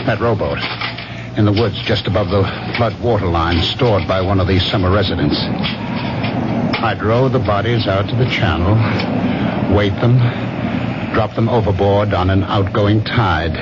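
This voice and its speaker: An actor playing a brooding, haunted killer who narrates his crime haltingly in a 1970s radio drama. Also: lowish voice, very noisy, natural voice. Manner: halting, dramatic, deliberate phrasing. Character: brooding, haunted man confessing to killings. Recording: dull 1970s radio broadcast, music behind.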